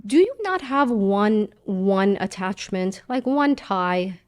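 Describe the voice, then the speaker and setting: Middle-aged woman from Iran, podcast guest, mid pitch, animated and joking. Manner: animated, joking, quick asides. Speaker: guest journalist. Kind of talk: casual podcast conversation.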